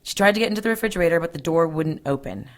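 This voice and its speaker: A woman's voice: on the low side, animated, very fast, natural voice. A woman playing a tough police detective in an audio drama, dry, curt and commanding. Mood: businesslike. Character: tough no-nonsense female police detective, sarcastic boss.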